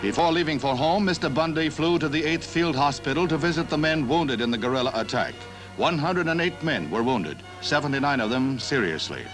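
A man's voice: a little high, animated, fairly quick, rough recording. A man with an American accent reading a formal, scripted 1960s newsreel narration in a declarative announcer style. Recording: 1960s newsreel soundtrack with music. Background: newsreel music.

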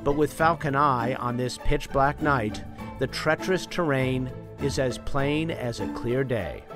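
A man's voice: medium pitch, unhurried. A man reading scripted first-person narration as a reporter for a documentary feature. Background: clear background music.

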